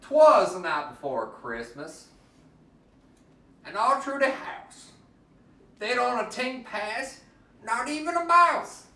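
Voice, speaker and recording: A man performs a storybook in dialect with playful exaggeration. His pitch swings widely as he takes his time over each line. He is recorded at a distance from the mic in an echoey room. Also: very animated, takes it slowly, pauses often, bright, forceful tone. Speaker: storyteller reading a picture book aloud. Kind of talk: theatrical storybook reading in Cajun dialect.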